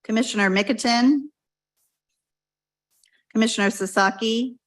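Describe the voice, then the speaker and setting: A woman on the commission staff calling the roll at a public meeting, reading the names briskly and evenly. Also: on the high side, rough recording. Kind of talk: formal roll call.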